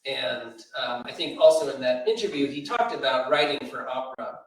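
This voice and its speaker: A man's voice: mid-range voice, moderate pace. Echoey, hesitant man speaking at a literary event. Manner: hesitant, digressive, thoughtful. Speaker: poetry editor and event speaker. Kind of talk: informal spoken commentary at a literary event. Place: large reverberant room at a live event. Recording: echoey room, distant microphone.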